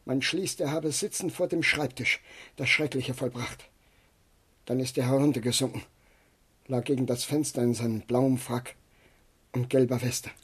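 An actor playing a distraught, deferential old manservant with a husky voice in a German radio drama. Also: male voice, medium pitch, steady tone, normal speed, long pauses, natural voice. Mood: shaken, reporting. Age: older. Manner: deferential, agitated. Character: distraught old manservant bringing grim news.

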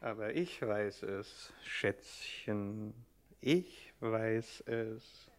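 An actor playing a smug, curt and ruthless gangster boss in a 1960s German radio comedy. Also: male voice, lowish voice, drawn-out words. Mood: smug, indulgent.

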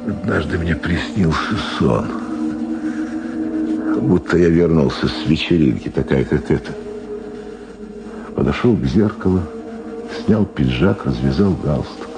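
An actor playing a troubled middle-aged prosecutor in a radio drama monologue, questioning himself and justifying his compromises with dramatic pauses. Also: rumbling low, expressive, over music, full character voice. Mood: haunted, brooding. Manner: brooding, rhetorical self-questioning, dramatic pauses.

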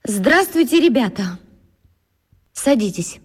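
High-voiced schoolteacher in long reverb. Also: female voice, very animated, slightly rough, full character voice. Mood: composed, formal. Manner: measured, addressing a class. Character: composed female schoolteacher, earnest and patient with pupils. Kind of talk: children's radio drama acting.

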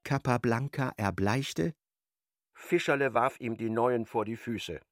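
A man narrating a radio play as literary storyteller, in a measured and wry manner. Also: medium pitch, dry recording, clean studio sound, close to an everyday voice.

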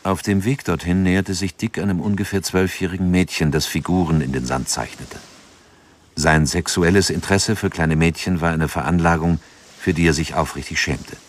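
A man narrating a radio drama in a calm, measured way, with music behind him. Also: low-pitched.

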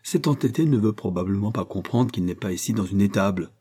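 A man voicing an indignant, overexcited gentleman with a huffy, outraged manner in a storybook reading. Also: on the low side, lively intonation, fast, husky.

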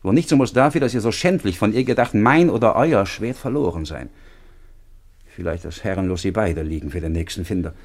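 An actor playing a proud, honourable young husband in a classic radio drama, formal and declamatory. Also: male voice, fairly low pitch, fairly quick, darkish tone. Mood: stern, challenging.

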